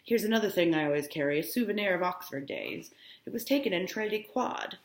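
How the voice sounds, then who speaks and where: A woman reads literature aloud like a classroom teacher. Her voice sits on the low side, and the room adds a clear echo. She steps out of the text to put it in simple, everyday words. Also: animated, fast. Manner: explanatory, breaks off to paraphrase in plain words. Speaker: high school English teacher. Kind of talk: reading a novel aloud with explanatory asides.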